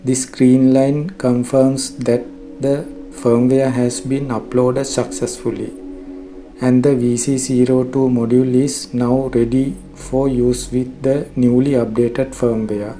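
A man narrating a scripted software tutorial in a formal, measured, step-by-step way. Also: medium pitch, little pitch movement, low-quality recording. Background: background music.

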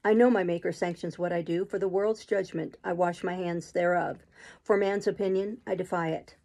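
A woman voicing a brooding, imperious gentleman in love, speaking in urgent, commanding declarations for a storybook. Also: high voice, fairly even intonation, moderate pace, gravelly. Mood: defiant, fervent.

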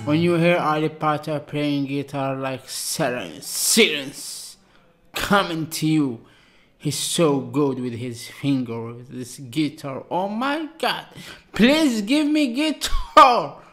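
A man in his thirties from Algeria with an Algerian accent, hosting a casual music reaction in halting, excitable English, talking into a close microphone in a small room. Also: a little high. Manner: excitable, halting non-native English with restarts. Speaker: reaction channel host. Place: small room with dark blue backdrop. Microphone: studio mic just in front of face. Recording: faint guitar music behind. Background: guitar music playing.

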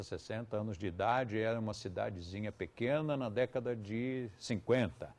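A man's voice: mid-range voice, vocal fry, a little mellow. A man in his seventies with a Brazilian Portuguese accent, giving a live lecture to students in a conversational way with ironic asides. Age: 70s. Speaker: yoga teacher.

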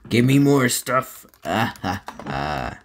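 A woman's voice: rumbling low, very animated, takes it slowly, slight background noise. A woman with a Southern US accent giving solo gameplay commentary, rambling and talking to herself between moves. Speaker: gaming YouTuber.

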